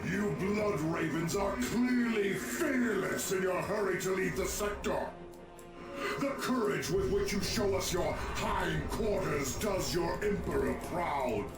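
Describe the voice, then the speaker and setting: A man's voice: sits high, slow pace. A man playing a mocking, grandiose zealot champion of a blood god in a video game. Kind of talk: video game villain acting. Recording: distant echoing voice under loud music.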